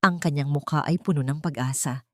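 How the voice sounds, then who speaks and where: A woman's voice: low-pitched, brisk, fairly bright tone, dry recording, studio quality. A woman reading a scripted dramatic audio story as its narrator.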